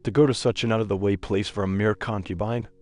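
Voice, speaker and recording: A man voices an old palace conspirator with a lowish voice. He is cold and ruthless, a villain of a comic-story dub, with music underneath. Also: steady tone, quick pace, darkish tone, natural voice. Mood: cold, plotting. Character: cold, ruthless old male court schemer. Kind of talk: dubbed comic-recap character dialogue. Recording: voice over background music.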